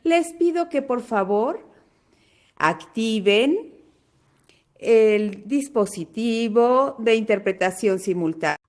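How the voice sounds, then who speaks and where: An Argentine woman hosting a live online webinar over a video call, greeting attendees one by one in an unhurried way. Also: on the high side, lively intonation. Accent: Argentine Spanish. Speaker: webinar host.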